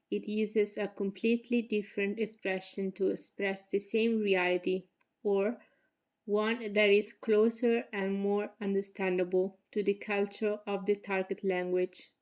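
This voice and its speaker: A young woman in her twenties with an Italian accent, reading a prepared presentation as a graduate student, careful and measured with small slips. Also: medium pitch, flat delivery, darkish tone.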